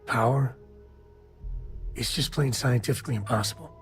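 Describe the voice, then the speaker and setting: A man's voice: medium pitch, normal speed, music in the background, close to an everyday voice. A man playing an easygoing space cowboy. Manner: easygoing, concerned, plain-spoken. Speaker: companion character. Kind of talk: video game voice acting.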